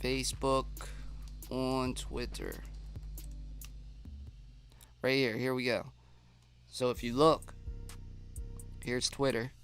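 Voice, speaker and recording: A man's voice: medium pitch, little pitch movement, slow pace, pauses often, fairly bright tone, faint music behind. A man with a stuffy nose hosting a casual live stream, chatting and reading viewer comments aloud.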